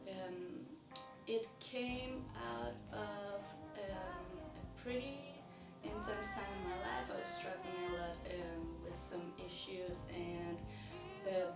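A young Danish woman, a singer-songwriter, talking to camera about her music in a soft, hesitant way with many pauses and ums. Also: lowish voice, reverberant, recorded from a distance. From Denmark. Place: indoors in front of a plain wall.

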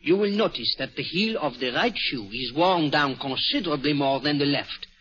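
An actor playing a polite, shrewd Belgian detective with a French accent, speaking precisely and theatrically in a 1940s radio drama. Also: male voice, on the high side, lively intonation, moderate pace, slightly rough. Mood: explaining. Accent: French-accented English. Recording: dull lo-fi vintage radio broadcast.

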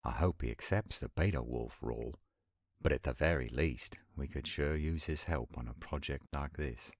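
A man acting an earnest young werewolf pack leader in a roleplay audio drama, speaking in a measured way. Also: very deep voice, no room sound, studio quality. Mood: earnest, hopeful.

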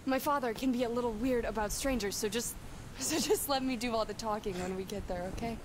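A woman's voice: a little high, a little fast, noisy background, natural voice. A woman playing an earnest, resourceful young woman in a video game, with natural delivery. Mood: urgent. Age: young adult. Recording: music behind the voice.

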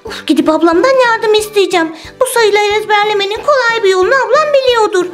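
Very high sweet sing-song woman's voice. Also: quick pace, soft background music. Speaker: toy video narrator voicing all the dolls. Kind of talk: playful toy play with children's song.

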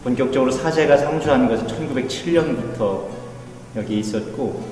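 A man's voice: mid-range voice, normal speed, darkish tone. Middle-aged man in an echoey hall. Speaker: Anglican priest, church guide. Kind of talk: informal explanatory guided tour of church history. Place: inside a wooden church hall. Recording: echoey hall, distant mic, faint music.

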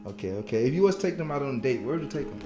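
A man's voice: mid-range voice, lively intonation, roomy sound, over music. A young man hosting a blind date, teasing and coaching his friend in casual chat. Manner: fast-talking, teasing. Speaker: host.

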